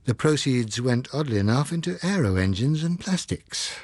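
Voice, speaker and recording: A man voicing a calm, reasonable English husband in an audiobook, dry and placating, with an English accent. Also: mid-range voice, moderate pace, no echo, natural voice. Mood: reassuring.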